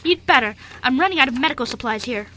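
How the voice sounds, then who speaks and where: A woman's voice: high-pitched, animated, fast, noisy background. A woman playing an exasperated, stern orphanage nurse in an amateur radio play, curt and sardonic.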